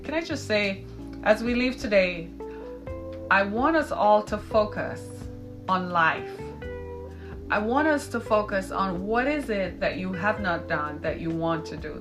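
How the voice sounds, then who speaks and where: A woman from the British Caribbean, whose accent comes through clearly, delivers her commentary in the emphatic, practiced cadence of a public speaker. Her voice sits at a middle pitch. Guitar music plays clearly underneath her throughout. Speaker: podcast host. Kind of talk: live-stream commentary reading viewer comments.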